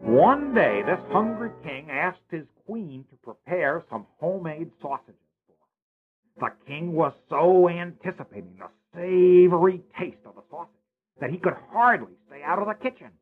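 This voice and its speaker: A kindly old godfather telling a fairy tale, played by a man, with a lively, high voice that leaps about. He sounds a little husky, and the recording is tinny like a phone line. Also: moderate pace, soft background music. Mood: warm. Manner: theatrical, playful storytelling. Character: kindly older male godfather and storyteller. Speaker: narrator. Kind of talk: storybook narration.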